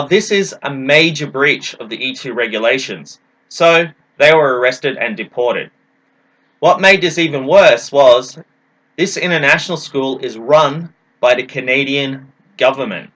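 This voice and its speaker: Bright-voiced man giving an explainer. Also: a little high, low-quality recording. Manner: didactic, emphatic, poses questions and answers them. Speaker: English teacher presenting a talk. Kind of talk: explanatory presentation.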